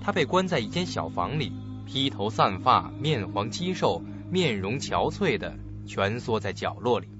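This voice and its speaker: A man's voice: fairly low pitch, sing-song, moderate pace, hardly any pauses. A man narrating a radio drama in a dramatic, scripted storytelling style. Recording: muffled dull recording with loud music.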